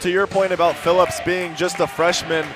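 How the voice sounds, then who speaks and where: Higher-voiced man giving hockey analysis. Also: little pitch movement, normal speed. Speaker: color commentator. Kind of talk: live ice hockey analysis. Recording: noisy arena behind the voice. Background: arena noise.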